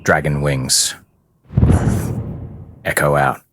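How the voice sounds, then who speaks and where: A man narrating a tabletop fantasy game as game master, deliberate and portentous, with long dramatic pauses. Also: extremely low pitch, flat delivery, a little hiss or hum.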